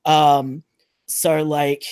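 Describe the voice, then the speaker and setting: A man's voice: a little high, little pitch movement, drawn-out words, darkish tone, clean tone, dry recording. Aussie guy on a podcast panel, opinionated and emphatic, really dry recording. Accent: Australian. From Australia. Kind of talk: casual panel discussion.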